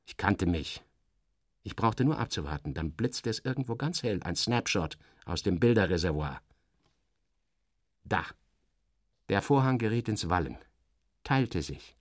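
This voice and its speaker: A man's voice: fairly low pitch, no room sound, studio quality. An actor playing a sardonic, weary male journalist who narrates his own journey in a radio play, theatrically shifting between muttering and exclaiming. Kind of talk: radio play first-person narration with acted dialogue.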